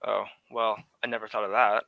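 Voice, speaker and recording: A man's voice: on the low side, little pitch movement, brisk, gravelly, no room sound, muffled recording. A man playing a breezy, cynical English aristocrat in exile, in a theatrical radio drama manner. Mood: genteel, insistent. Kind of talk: 1940s radio drama acting.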